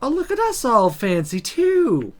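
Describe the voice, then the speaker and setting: Performer as pushy, higher-pitched schoolgirl witch. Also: wildly swooping pitch, unhurried, soft background music. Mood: whiny, bored. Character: impulsive, stubborn schoolgirl witch, Sophie's mischievous friend. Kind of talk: visual novel character voice.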